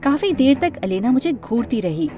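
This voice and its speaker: Female narrator for an animated story, high voice, dramatic first-person telling, light music bed. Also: normal speed. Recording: music under the voice.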